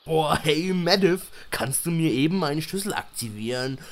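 A man's voice: on the high side, lively intonation, normal speed, slight background noise, lightly acted. A man playing a silly, nagging online game player in a parody audio drama. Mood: cheeky.

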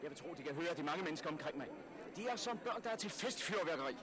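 An actor playing an enthusiastic radio reporter giving declamatory live commentary in a 1960s radio drama. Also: male voice, a little high, animated, a crowd in the background, natural voice. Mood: awed, excited. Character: excited male radio reporter at an outdoor spectacle.

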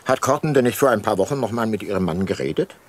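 A man's voice: on the low side, lively intonation, fast. An actor playing a sombre, reflective older detective who narrates his own radio drama. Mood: brooding, reflective. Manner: reflective, sober. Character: brooding, sombre aging private detective narrator. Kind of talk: first-person radio drama narration and dialogue.

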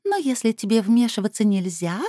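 A woman reader voicing a playful, coaxing female being with a soft, enveloping mezzo voice in a storybook. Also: fairly high pitch, animated, fairly quick, dry recording, studio quality, pitched above the performer's own voice, lightly acted. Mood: soothing. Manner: purring, teasing, coaxing.

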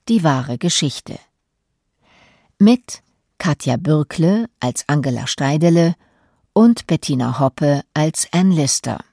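Low, mellow woman announcer, dry studio. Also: no room sound. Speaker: credits announcer. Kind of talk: scripted radio credits announcement.

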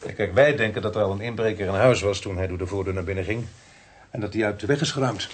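A man playing a brisk, down-to-earth Dutch police detective in a radio drama. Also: on the low side, slight background noise, lightly acted. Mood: matter-of-fact. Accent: Dutch (Netherlands).

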